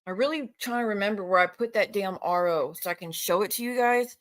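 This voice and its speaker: A woman's voice: medium pitch, a little fast. A woman streamer ranting off the cuff to her viewers, confrontational and sarcastic, swearing heavily. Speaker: online streamer addressing viewers. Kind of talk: unscripted live rant, addressing viewers directly.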